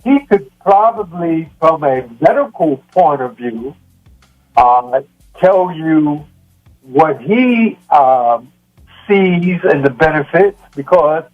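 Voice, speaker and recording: A man in his seventies talking reflectively as a guest, in halting, deliberate phrases with long pauses, through muffled stream audio. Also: a little high, expressive, faint music behind. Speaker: guest, yoga practitioner. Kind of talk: reflective conversational talk.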